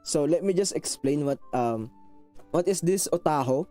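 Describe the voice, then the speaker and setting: A man's voice: a little high, lively intonation, normal speed, mellow, soft background music. A man from the Philippines with a thick Filipino accent, chatting casually with viewers on a live stream, rambling and restarting sentences, apologetic and a little awkward. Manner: rambling, frequent restarts, self-conscious and apologetic; switches into Tagalog phrases. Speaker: VTuber streamer.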